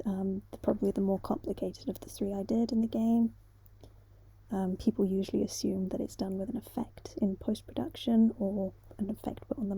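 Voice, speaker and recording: A woman's voice: mid-range voice, creaky, warm tone, breathy edge. A woman speaking casually and a little hesitantly as an amateur voice actor, telling unscripted stories to viewers.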